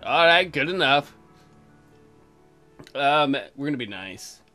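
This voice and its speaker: A man's voice: on the high side, animated, slow pace, bright, forceful tone, faint music behind. A man hosting a let's play, mixing crude, mocking commentary with reading game text aloud.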